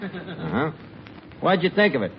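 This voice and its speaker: Pushy, high-voiced New wise guy. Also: wildly swooping pitch, a little slow. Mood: bossy, coaxing. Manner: ungrammatical wise guy, mock-grand. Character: bumbling, pushy amateur playwright and self-styled director. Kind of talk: 1940s radio comedy acting. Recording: dull, very noisy old radio recording, studio crowd.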